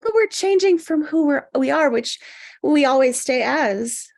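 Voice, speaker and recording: A woman playing an awkward, quietly competitive single woman in a screenplay table read, conversational and defensive. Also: sits high, expressive, measured pace. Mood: polite, guarded.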